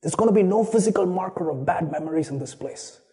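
A man's voice: high voice, fast, mellow. A man from Chennai with an Indian accent, a native Tamil speaker, preaching a sermon with a personal story and restarting phrases often. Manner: earnest, storytelling, repeats words at the start of phrases. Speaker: pastor.

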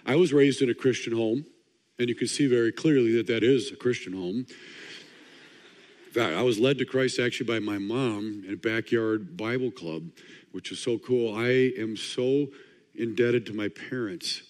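A hoarse older man from Wisconsin preaching fast, with a dry, joking delivery. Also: mid-range voice, darkish tone. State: hoarse, partly lost voice. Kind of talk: fast-paced sermon talk. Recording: long hall reverb.

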